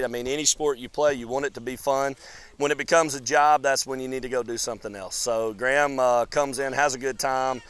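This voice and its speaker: A baseball coach in his thirties giving a relaxed postgame interview outdoors on a field, talking with many fillers and restarts. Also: mid-range voice, clean tone. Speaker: college baseball head coach. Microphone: lapel mic.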